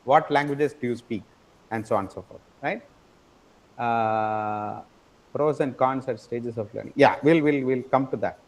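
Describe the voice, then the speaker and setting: A man teaching an online class, explaining step by step, often pausing with "uh" and asking "right?" to check in with his students. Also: mid-range voice, low-quality recording. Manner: explanatory, frequent tag question "right?"; repeats words and hesitates with "uh". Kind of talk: online lecture.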